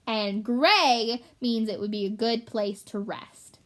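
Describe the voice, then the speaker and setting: A woman with a fairly high voice reads a storybook aloud for children. She narrates expressively and in a clear teaching manner, as if making sure young listeners follow. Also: a bit bright. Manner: explanatory, pausing to clarify for young listeners. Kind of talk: expressive children's book read-aloud with explanations.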